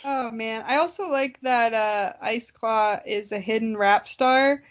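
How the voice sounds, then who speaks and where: A woman's voice: on the high side, measured pace, dull audio. A young adult woman co-hosting a podcast in casual comedic banter, silly, teasing and giggly. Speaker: podcast co-host.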